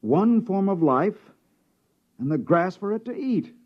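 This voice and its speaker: An actor playing an excitable, puzzled biologist exploring an alien planet in a 1950s sci-fi radio drama, speaking with wonder. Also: male voice, high-pitched, over loud music. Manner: exclamatory, wondering, halting in amazement. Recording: muffled old radio recording.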